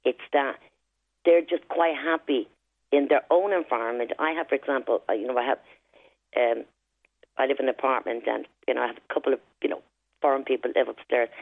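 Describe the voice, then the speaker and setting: A woman's voice: very deep voice, fairly even intonation, fairly quick, creaky, no room sound. A middle-aged Irish woman calling a radio show, talking emphatically and often tagging "right?" and "you know". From Ireland. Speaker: caller. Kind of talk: phone-in call. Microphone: telephone line. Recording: tinny phone line.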